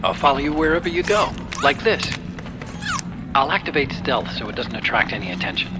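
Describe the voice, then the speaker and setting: An actor playing an earnest, helpful tech-expert sidekick in a video game, explaining things in a friendly way. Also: male voice, medium pitch, sing-song, a little fast, over music, close to an everyday voice. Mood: concerned, instructive. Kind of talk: video game cutscene acting.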